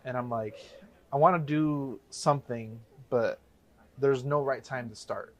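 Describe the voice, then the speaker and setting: A man's voice: mid-range voice, unhurried. A man from the Chicago area in his thirties, a guest telling his story in a casual interview, rambling and correcting himself as he goes. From Chicago area, USA. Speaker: guest, real estate investor.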